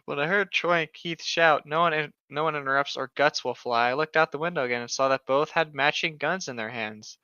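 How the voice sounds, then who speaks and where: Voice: mid-range voice, fairly quick, few pauses, pushed and bright, smooth, no echo, highs cut off. A speaker reading a story aloud mockingly, with casual joking and sarcastic asides. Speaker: co-host of a comedic story reading.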